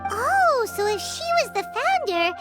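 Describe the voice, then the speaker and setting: A woman's voice: very high-pitched, very animated, a little slow, exaggerated voice. A woman voicing a small, childlike fairy companion in a video game, perky and blunt, with an exclamatory way of talking. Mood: curious, blunt. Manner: refers to self in third person, exclamatory. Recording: music behind the voice.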